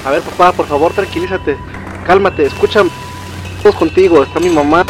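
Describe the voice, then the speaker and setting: Un hombre joven que interpreta a un hijo angustiado que intenta calmar a su padre en un drama de terror. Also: male voice, high-pitched, a little fast, rough recording. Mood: alarmed, pleading. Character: anxious grown son pleading to calm his panicking father. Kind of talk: horror story dramatization. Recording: music behind the voice.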